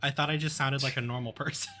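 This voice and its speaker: A man with a Texan accent chatting casually as a podcast co-host, easygoing and quick to laugh. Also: mid-range voice, fairly even intonation, pushed and bright. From Texas. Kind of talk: casual conversation.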